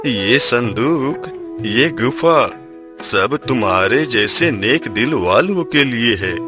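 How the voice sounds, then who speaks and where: Man playing a slow, solemn village priest. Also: mid-range voice, lively intonation, over music. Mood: solemn, guiding. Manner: grave, instructive.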